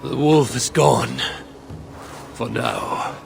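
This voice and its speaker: Gravelly, proud warrior god out for vengeance. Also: male voice, mid-range voice, huge pitch swings, drawn-out words, over music, exaggerated voice. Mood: tense, brooding. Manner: archaic, grandiose, declamatory phrasing. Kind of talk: dramatic video game cutscene acting.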